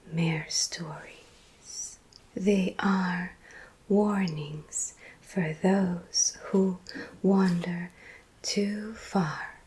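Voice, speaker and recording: A woman whispering scary bedtime stories in a soft ASMR roleplay as a vampire storyteller. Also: on the low side. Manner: soft unvoiced whisper, slow and drawn-out.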